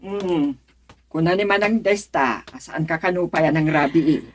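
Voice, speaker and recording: Low, husky mother played by a woman. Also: moderate pace, lightly acted. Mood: caring. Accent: Filipino. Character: caring mother of a grown student daughter. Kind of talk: radio drama acting.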